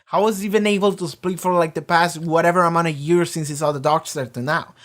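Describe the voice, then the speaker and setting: Loud, rambling, swearing reaction host. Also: medium pitch, fairly even intonation, fast talker. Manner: swears freely, many fillers and restarts; often talks loud. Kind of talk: casual rambling discussion.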